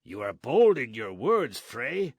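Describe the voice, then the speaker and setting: A man voicing a gruff, blustering army sergeant who makes loud demands. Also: mid-range voice, very animated, slow pace, dry recording, clean studio sound, full character voice.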